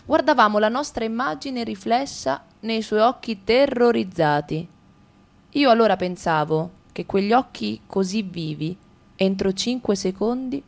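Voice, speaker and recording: Woman reading in radio theatre. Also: mid-range voice. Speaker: stage reader.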